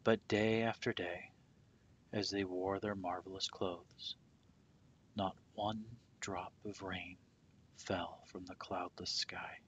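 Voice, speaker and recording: A man reading a children's picture book aloud as storyteller, with deliberate, dramatic phrasing that softens at the ends of phrases. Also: sits low, steady tone, highs cut off, a little hiss or hum.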